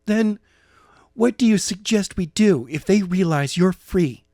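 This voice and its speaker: A man's voice: high voice, measured pace, dark tone, no room sound, pitched above the performer's own voice. Male reader as curt young heroine, firm, dry close sound. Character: sharp young woman, able to teleport. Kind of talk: amateur audiobook reading.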